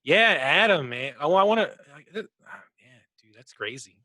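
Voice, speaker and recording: A man's voice: a little high, expressive, moderate pace, bright, forceful tone, no echo. A man chatting casually as a podcast co-host in an informal, slangy way. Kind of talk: casual chat.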